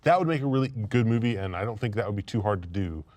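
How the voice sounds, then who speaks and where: A man's voice: lowish voice, animated, quick pace, darkish tone, no echo. A man talking informally as a panelist on a casual discussion show. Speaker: panelist on a video game and movie discussion show. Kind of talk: casual chat.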